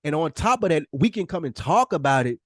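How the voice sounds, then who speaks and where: Male podcast co-host, slightly high voice, candid and earnest, freely profane, recorded close and dry. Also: big pitch swings, a little fast, no room sound. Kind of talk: casual, candid podcast conversation.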